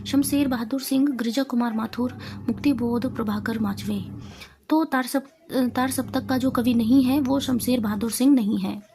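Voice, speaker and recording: A woman teacher reads out exam revision questions, answers and lists, reciting deliberately item by item. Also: a little high, steady tone. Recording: clearly noisy amateur recording.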